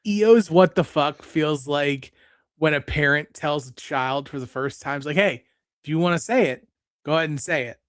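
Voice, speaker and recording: A man's voice: on the high side, normal speed, no echo, clean studio sound. A man on a casual podcast, telling a playful joking aside.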